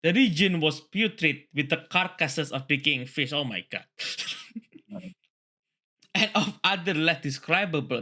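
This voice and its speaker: A man hosting a read-along, moving between reading a story aloud and excitable, casual commentary full of tangents. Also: medium pitch. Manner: excitable, often laughing and digressing.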